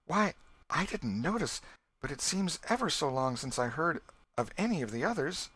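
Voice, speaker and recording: A man's voice: on the high side, very animated, moderate pace, fairly bright tone, slightly rough, pitched above the performer's own voice, exaggerated voice. A man voicing an anxious young girl in a storybook, timid and pleading. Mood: uneasy.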